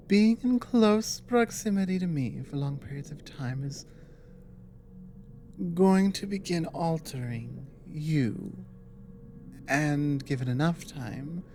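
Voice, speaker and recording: A woman playing an earnest dragon woman, a careful advisor and lover, speaking softly and hesitantly with long pauses in an intimate ASMR roleplay. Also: sits low, slight background noise.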